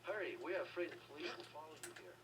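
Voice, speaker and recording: An actor playing a wary, loyal, hurried Hong Kong triad member in a video game, on a noisy distant capture. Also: male voice, fairly high pitch, lightly acted. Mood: guarded, urgent.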